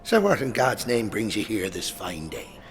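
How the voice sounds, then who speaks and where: Guy acting old teasing priest, very animated up and down voice, comedy radio play. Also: on the low side, moderate pace, faint music behind. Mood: wry. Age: older. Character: wry, exclamatory older male priest. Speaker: actor.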